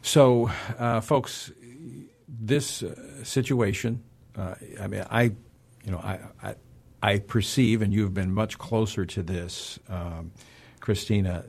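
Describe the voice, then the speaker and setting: A man hosting a radio interview, talking in a halting way with many pauses and restarts. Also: lowish voice, vocal fry, darkish tone, breathy edge. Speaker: radio host.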